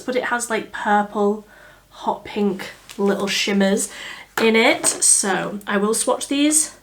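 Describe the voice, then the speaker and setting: A young woman with a slightly croaky voice from a cold, chatting casually through a makeup tutorial as a beauty vlogger. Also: mid-range voice, a bit bright. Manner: chatty, easygoing, thinking aloud.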